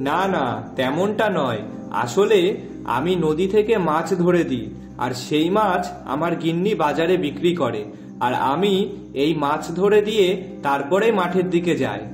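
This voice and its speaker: A man's voice: fairly high pitch, animated, moderate pace, lightly acted. A man voicing a mild, hardworking village fisherman husband, folksy and agreeable, in a fairy tale cartoon. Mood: polite, hurried. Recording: echoey, with loud instrumental music behind.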